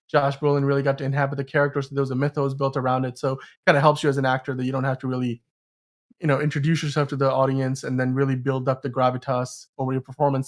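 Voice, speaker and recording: A man hosting a casual film discussion podcast, talking in a rambling, emphatic way and often stumbling over his words. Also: medium pitch. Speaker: podcast host.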